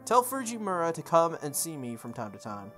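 A woman voicing a blunt, laid-back young shopkeeper who teases and cares gruffly, in visual novel dialogue. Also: sits low, sing-song, a little fast, fairly bright tone, faint music behind. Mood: blunt, caring. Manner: blunt, teasing, casual.

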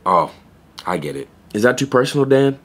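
An actor playing a wry, blunt young pet store clerk in an audio play, deadpan and sardonic. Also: male voice, mid-range voice, a little fast, husky. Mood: probing, blunt. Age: young adult. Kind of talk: naturalistic audio play acting.

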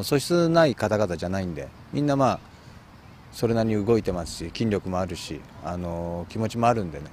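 A man answering interview questions outdoors in an informal, halting, unscripted way. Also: on the low side, a little mellow, slight background noise. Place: outdoors in a park.

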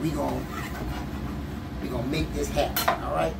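A middle-aged man with a drawl cooks at home and chats as he goes, playful and quick to tease. He sounds set back from the camera in a roomy kitchen, with heavy noise underneath. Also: a little high, steady tone, vocal fry. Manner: playful, teasing, laughs often. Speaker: chef and cooking show host. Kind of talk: casual cooking demonstration. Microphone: far camera. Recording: echoey kitchen, distant mic, loud background noise.

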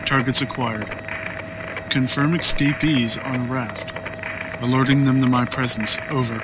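A man's voice: mid-range voice, steady tone, unhurried, lightly acted. A man playing a cold, menacing police helicopter pilot in an audio drama, using clipped military radio talk. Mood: coldly taunting. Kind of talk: gritty audio drama acting. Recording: loud helicopter noise throughout.

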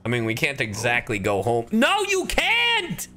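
Man, game streamer, hugely swooping pitch, bright forceful voice, casual, playful, exclamatory. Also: huge pitch swings, measured pace, faint music behind. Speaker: streamer, voice actor. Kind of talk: casual gameplay commentary.

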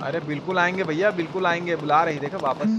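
A man's voice: fairly high pitch, fairly even intonation, fast talker, noisy background. A man with an eastern Hindi, Bihari-style accent giving casual, slangy, exclamatory live commentary as a game streamer. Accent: eastern Hindi belt, Bihari-style.

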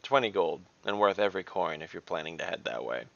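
Gruff male monster hunter, read by a male narrator, deep and gravelly, curt, world-weary, fantasy audiobook. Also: expressive, fairly quick, fairly bright tone, no echo, highs cut off, full character voice. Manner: curt, matter-of-fact.

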